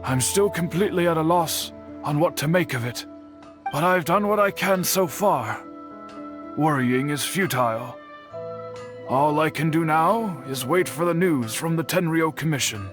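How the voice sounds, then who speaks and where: An earnest young man speaks in a fairly high, measured voice while worrying over a missing friend. He stays composed but concerned. Faint game music sits behind him. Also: animated. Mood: worried. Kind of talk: game voice acting.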